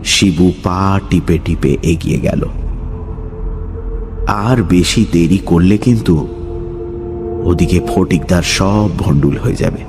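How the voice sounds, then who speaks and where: Slow, low-pitched man narrating suspense. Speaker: story narrator. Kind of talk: dramatic scripted horror-story narration. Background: background music.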